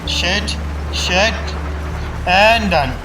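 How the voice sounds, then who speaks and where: A woman's voice: medium pitch, very slow, poor audio. A woman giving casual, sweary commentary as she plays through a video game. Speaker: game streamer. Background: game music.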